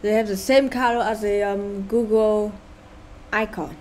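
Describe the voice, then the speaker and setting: A woman's voice: mid-range voice, steady tone, a little mellow, slight background noise. A woman with a Vietnamese accent who speaks English as a second language, casually commenting on her gameplay with sarcastic asides and sighs. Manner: sighing, sarcastic asides, halting and unhurried. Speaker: gaming channel host.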